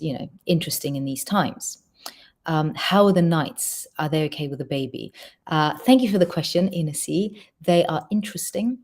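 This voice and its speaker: Quick-talking low-voiced woman singer. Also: darkish tone. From Georgia. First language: Georgian. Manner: quick, chatty, lots of ums. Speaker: singer reading fan questions. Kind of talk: casual livestream Q&A.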